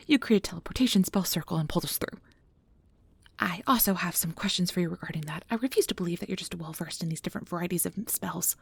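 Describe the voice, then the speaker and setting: Woman playing a nervous young female researcher, quick rambling speech, slightly breathy and husky, caring, flustered, apologetic, ASMR fantasy roleplay. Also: fairly low pitch, dry recording. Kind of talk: ASMR roleplay audio drama.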